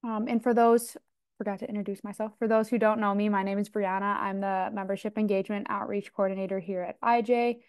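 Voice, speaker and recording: A woman's voice: medium pitch, steady tone, quick pace, darkish tone, clean tone, no echo, clean studio sound. A young woman hosting a video call meeting as outreach coordinator, upbeat and welcoming with frequent ums. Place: dim room indoors. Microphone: earbuds on video call.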